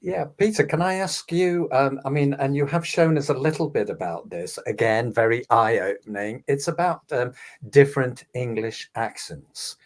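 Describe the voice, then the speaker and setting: A man hosting a discussion, polite and measured, who starts his questions with a few hesitant fillers. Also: mid-range voice. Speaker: host, company head. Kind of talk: casual discussion.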